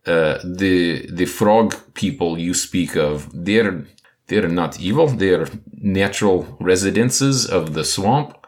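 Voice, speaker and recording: A man voicing a halting villager translator with broken English. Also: low voice, expressive. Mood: earnest.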